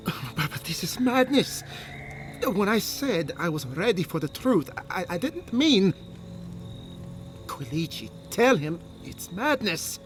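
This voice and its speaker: A high-voiced, earnest resistance leader. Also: male voice, very animated, slow, soft background music, heavily acted. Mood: distressed. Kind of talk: video game dramatic acting.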